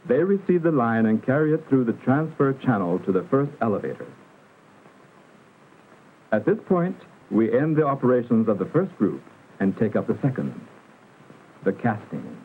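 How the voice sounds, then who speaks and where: A man narrating a 1960s educational film in a formal, instructional manner with careful, measured enunciation. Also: mid-range voice. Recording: muffled vintage film soundtrack, narrow band, hiss.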